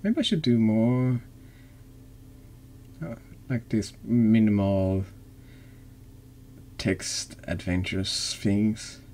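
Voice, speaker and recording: A man's voice: lowish voice, extremely slow, long pauses, a little mellow, slight background noise. A man streaming a horror text game, reading dramatically or chatting casually.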